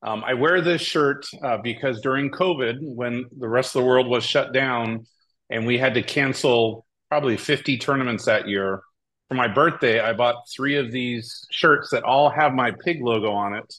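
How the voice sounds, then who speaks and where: Middle-aged male interview guest from Hawaii, average-pitched voice, conversational storyteller. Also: normal speed, fairly bright tone. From Hawaii, United States. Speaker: podcast guest, tournament director. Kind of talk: casual interview talk, storytelling.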